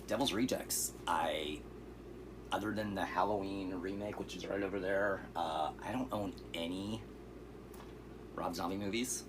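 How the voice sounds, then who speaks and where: A man with a very low, hoarse voice runs through a pile of cheap movie finds, easygoing and rambling, with fillers along the way. There is clear steady noise behind him. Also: unhurried. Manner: relaxed, rambling, with fillers and asides. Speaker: vlogger showing thrift finds. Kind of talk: casual vlog.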